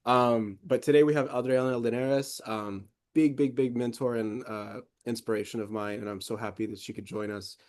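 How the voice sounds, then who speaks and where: A man's voice: medium pitch, fairly even intonation, normal speed, few pauses, a little mellow. A young man hosting an interview podcast, enthusiastic and casual, with many ums. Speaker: podcast host.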